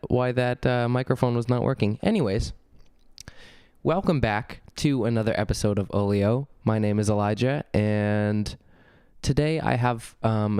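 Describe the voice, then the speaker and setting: A young man hosting his own podcast, talking casually and a bit gravelly from a cold, with lots of ums and restarts as he rambles. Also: on the low side, a little mellow, no room sound, clean studio sound. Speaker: podcast host. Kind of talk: casual solo podcast talk. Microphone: studio vocal mic.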